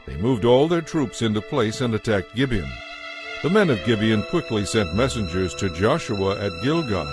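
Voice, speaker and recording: A man narrating scripture from a script in a measured, solemn, dramatic way. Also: lowish voice, very animated. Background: music.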